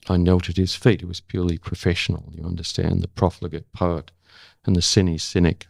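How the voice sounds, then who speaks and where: An older Australian man with a gravelly voice, speaking as an interview guest and former correspondent. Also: low voice, a little mellow, dry recording, clean studio sound. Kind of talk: dramatic spoken-word recital of own prose, then reflective conversation.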